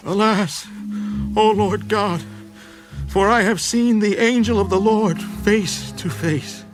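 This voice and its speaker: A man's voice: high-pitched, slow pace, music in the background. A man playing a humble, doubting young Israelite farmer in a dramatized scripture audio drama, earnest and pleading in formal phrasing. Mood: alarmed.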